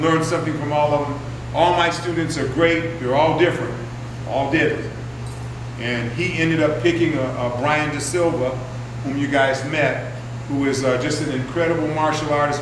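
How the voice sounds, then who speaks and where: An older man, a martial arts teacher, speaking in a relaxed, rambling way to a workshop group. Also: a little high. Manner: relaxed, rambling, warmly introducing. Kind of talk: workshop talk to students. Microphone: far from the mic. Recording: echoey room from far off, hum.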